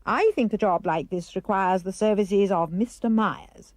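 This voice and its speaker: A woman voicing a level-headed, forthright mother in a children's verse reading, firm and brisk. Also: mid-range voice, darkish tone, no room sound, clean studio sound, lightly acted. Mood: firm, practical.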